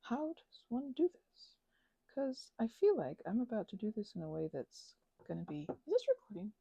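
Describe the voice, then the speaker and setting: Rambling woman, soft and slightly husky. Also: a little high, huge pitch swings, no room sound, highs cut off. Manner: playful, whimsical asides to listeners; halting, drifts into murmurs and self-talk. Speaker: ASMR and audio creator. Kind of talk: rambling casual craft chat, thinking aloud.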